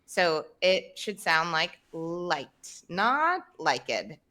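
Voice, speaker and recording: Woman in her thirties, podcast host on a video call, slow and bright teaching voice. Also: lowish voice, very animated. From Tennessee, United States. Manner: slow, clear explaining. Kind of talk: casual teaching chat. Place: home room, indoors. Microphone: video call, webcam.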